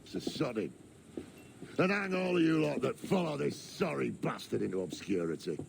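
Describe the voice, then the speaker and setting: An actor playing a bitter, hot-tempered, foul-mouthed pirate captain with a gravelly voice in a video game cutscene. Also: male voice, medium pitch, big pitch swings, moderate pace, background noise. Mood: bitter scorn.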